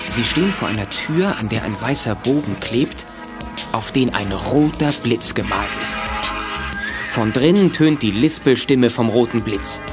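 A man's voice: mid-range voice, natural voice. A man narrating a scripted children's detective audio drama as its storyteller.

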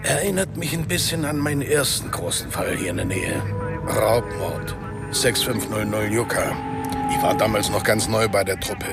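Guy playing world-weary older cop, lowish voice, dry n laconic, radio play w loud music. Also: animated, moderate pace, close to an everyday voice. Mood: weary, reminiscing. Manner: jaded, laconic, dryly joking. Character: world-weary veteran police sergeant, middle-aged, gruffly jaded. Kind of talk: radio drama acting.

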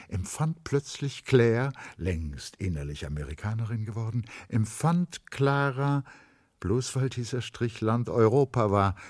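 A literary narrator with a low, husky voice. He tells the story with dramatic weight, swelling from hushed passages to urgent, emphatic ones in a radio play. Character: literary narrator of a young postal clerk's story.

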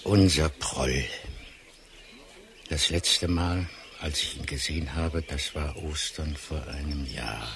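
A man's voice: a bass voice, slow pace, heavily acted. An actor playing a plain-spoken male funeral guest in a radio play. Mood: wistful. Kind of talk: German radio play dialogue. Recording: birdsong and ambient noise behind.

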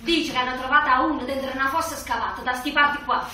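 An actress playing a shrill, gossiping village woman with a southern Italian accent in a buffoonish stage play. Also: fairly even intonation, fast talker, distant mic, background noise, lightly acted. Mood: gossipy, alarmed. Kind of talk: buffoonish stage theatre, chanting and exclaiming. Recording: echoey stage recording from afar, music, crowd.

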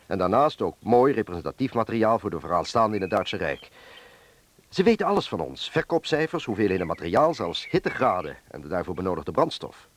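An actor playing an earnest middle-aged factory director in a Dutch wartime radio drama, speaking in a measured, explanatory way. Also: male voice, on the low side, sing-song. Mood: grave, urgent. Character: earnest male factory director defending collaboration in wartime Netherlands.

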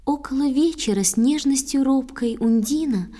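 A woman's voice: high voice, normal speed, mellow. A woman narrating a poetic audio drama in verse, in a scripted theatrical style.

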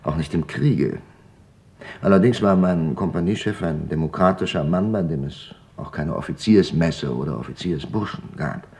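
A dignified older father who survived war and persecution. His voice sits low, and he gives his testimony in a restrained, formal, reflective manner, as in a radio documentary drama. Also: lively intonation, moderate pace, darkish tone.

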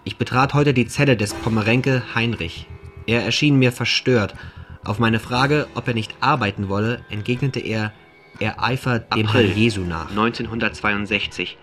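A stern male official played by an actor reads formal documents in a radio play. His voice is on the low side, with music clearly audible behind it. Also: normal speed, fairly bright tone, lightly acted. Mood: dry, official. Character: stern official male reader of press commentary and prison reports.

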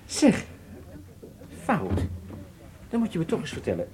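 A genial German racing enthusiast in a radio play. His pitch moves widely as he talks, and he sounds affable and probing, feeling his way through his phrases. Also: wildly swooping pitch, unhurried, noisy background. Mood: curious, concerned. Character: genial, scheming German horseman posing as wealthy racing enthusiast. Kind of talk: Dutch radio drama acting, conversational.

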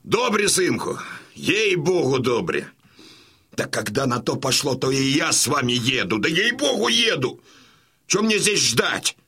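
An actor playing a boisterous, domineering old Cossack colonel and father in a Russian radio drama, with a rough, commanding, folksy delivery. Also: mid-range voice, very animated, measured pace, sharp tone, exaggerated voice. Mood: fiery, defiant. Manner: commanding, hearty, folksy, declamatory.

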